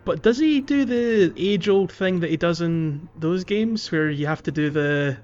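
Male co-host, slightly high voice, hesitant self-correcting delivery, casual chat, muffled recording. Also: animated, unhurried, a little mellow, soft background music. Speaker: podcast co-host. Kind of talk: casual video game discussion. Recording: muffled lo-fi audio.

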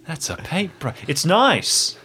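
A man playing an awkward, earnest new park ranger in a tabletop game, halting and polite. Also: on the high side, wildly swooping pitch, sharp tone, slightly rough, voice pushed up, heavily acted. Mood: awkward, puzzled.

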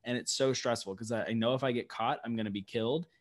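A man's voice: medium pitch, fast talker. Chatty podcast co-host man. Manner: chatty storytelling, frequent "like". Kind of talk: casual chat.

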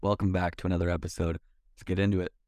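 A man's voice: sits low, flat delivery, rapid-fire, a little mellow, no room sound, clean studio sound. A man co-hosting a podcast, joking loosely and swearing casually. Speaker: podcast co-host.

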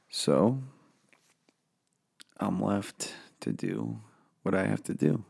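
Hoarse, gravelly, slow-talking man. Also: lowish voice, dark tone. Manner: rambling, self-deprecating, swears freely. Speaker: podcast host. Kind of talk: casual solo podcast monologue. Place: home office.

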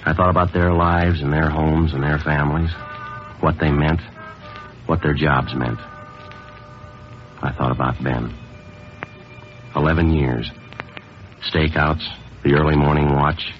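An actor playing a stoic, grieving police sergeant in an old radio drama, speaking in a terse, understated, deadpan way. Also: male voice, very deep voice, a little slow, long pauses. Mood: somber. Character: stoic male police sergeant, grieving his longtime partner. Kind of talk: 1950s radio acting, clipped scripted narration. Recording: muffled old radio broadcast, music behind.

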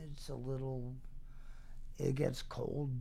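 A man's voice: mid-range voice, flat delivery, takes it slowly, vocal fry, mellow. An older man chatting as an interview guest in a hesitant, wry, plainspoken way. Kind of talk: casual chat.

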